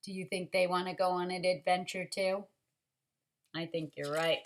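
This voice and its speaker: A woman reading a picture book aloud to young children, expressive and dramatic, speaking like a teacher who pauses to ask questions. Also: on the low side, slight background noise. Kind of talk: animated storybook read-aloud for young children.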